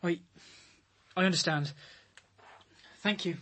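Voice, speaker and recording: A jumpy devoted boyfriend in a radio play, easily flustered, bit of noise behind. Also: male voice, sits high, slow, fairly bright tone. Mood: nervous. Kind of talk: radio crime drama acting.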